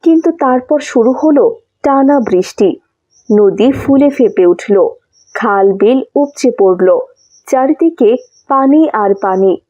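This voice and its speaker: Female storyteller for a children's cartoon, mellow tone, scripted read, slightly muffled audio. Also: on the high side, animated, takes it slowly, no room sound. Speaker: narrator.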